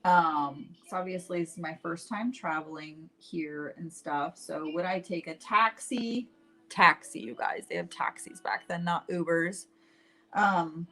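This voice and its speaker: Chatty woman telling stories at an unhurried pace. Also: fairly low pitch. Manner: chatty, animated retelling with acted-out dialogue and frequent "like"; addresses viewers directly. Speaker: host painting live while telling stories. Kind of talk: casual livestream storytelling.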